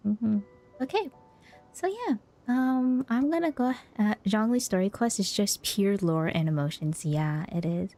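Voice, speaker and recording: A woman's voice: mid-range voice, expressive, unhurried, warm tone. A woman doing casual livestream commentary as a game streamer, reacting in an informal, rambling way. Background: game music.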